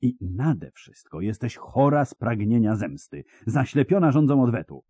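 A man's voice: mid-range voice, big pitch swings, moderate pace, mellow, husky, dry recording, pitched above the performer's own voice. A man voicing a stern, worried old hermit scholar who lectures in an exasperated tone.

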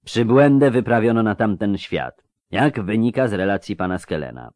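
Calculating storybook aristocrat, slow stretched syllables, knowing air male narrator acting, close dry recording. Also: lowish voice, expressive, darkish tone, dry recording, pitched above the performer's own voice, lightly acted. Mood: smug. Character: calculating, sceptical nobleman with drawling speech.

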